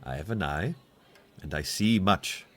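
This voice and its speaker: A man playing a kindly, shrewd German professor in an audio drama, with a German accent, halting phrasing and teasing good humour. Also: sits low, expressive, a little hiss or hum.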